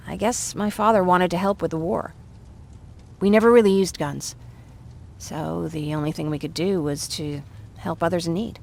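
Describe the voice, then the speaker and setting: An actress playing a warm, joking young survivor in a video game, chatty and quick to apologise for herself. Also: female voice, fairly low pitch, vocal fry, a little hiss or hum. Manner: chatty, self-deprecating, apologetic. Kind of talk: video game dialogue acting.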